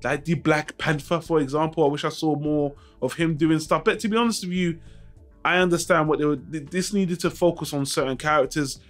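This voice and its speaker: A man's voice: on the high side, fairly even intonation, fairly quick, soft background music. A man hosting a casual film review vlog, talking informally and frankly to his viewers with some mild swearing. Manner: informal, mildly profane, frank. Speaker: YouTube channel host.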